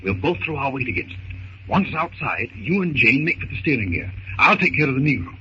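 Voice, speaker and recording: An actor playing a resolute, genteel gentleman adventurer in a 1930s radio serial. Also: medium pitch, sing-song, a little fast, close to an everyday voice. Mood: urgent, commanding. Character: resolute, gentlemanly adventurer held captive aboard ship. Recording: muffled, hissy 1930s radio recording.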